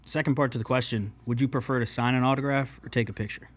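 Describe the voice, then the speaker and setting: A young man in his mid twenties with a medium-pitched voice. He chats informally on a podcast, chipping in and posing questions to the others. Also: fairly even intonation, quick pace. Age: mid 20s. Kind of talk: casual chat.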